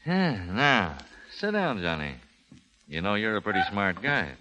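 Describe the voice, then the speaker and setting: An American actor playing a blunt, domineering crime boss in a 1930s radio drama, on a vintage recording. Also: male voice, on the low side, huge pitch swings, unhurried, bright, forceful tone, exaggerated voice. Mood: businesslike.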